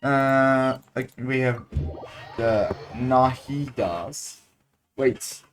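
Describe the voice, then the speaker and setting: A man's voice: medium pitch, extremely slow, soft background music. A man giving casual gameplay commentary, thinking aloud hesitantly and repeating himself. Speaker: gaming streamer.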